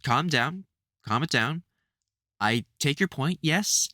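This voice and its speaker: A man's voice: a little high, lively intonation, slow, pushed and bright, no room sound, full character voice. Man acting a protective vampire hunter, close and dry. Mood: touched, fond. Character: gruff but soft-hearted male vampire hunter, protective mentor. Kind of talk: intimate audio roleplay acting addressed to the listener.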